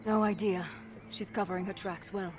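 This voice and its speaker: A woman's voice: mid-range voice, steady tone, normal speed, darkish tone, slight background noise, lightly acted. A woman playing a tough, hard-bitten raider leader in a video game, curt, dry and authoritative. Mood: hard, determined. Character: tough, commanding female raider boss, grudging and hard-bitten. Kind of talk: dramatic video game acting.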